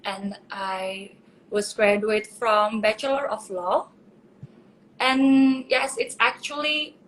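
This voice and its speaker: A woman in her twenties from Indonesia, speaking English as a second language in a bubbly, excitable live video chat. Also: mid-range voice, a little hiss or hum, poor audio. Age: 20s. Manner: bubbly, exclaiming, laughs often.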